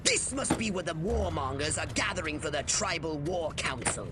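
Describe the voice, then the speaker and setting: High, imperious alien commander played by a man. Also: expressive, moderate pace, over loud music, lightly acted. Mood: urgent briefing. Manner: imperious, clipped, scolding. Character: stern imperious alien commander and cloning scientist. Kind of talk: video game cutscene acting.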